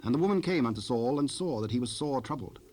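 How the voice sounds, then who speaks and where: A man narrating scripture from a script in a measured, solemn manner. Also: medium pitch, expressive, darkish tone. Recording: faintly noisy 1970s cassette rip.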